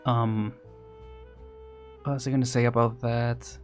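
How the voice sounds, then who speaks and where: A man's voice: mid-range voice, little pitch movement, a little slow, a little mellow, soft background music. A man chatting casually as a livestream host, rambling and hesitant, often repeating words and restarting as he thinks aloud. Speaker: streamer, vtuber host.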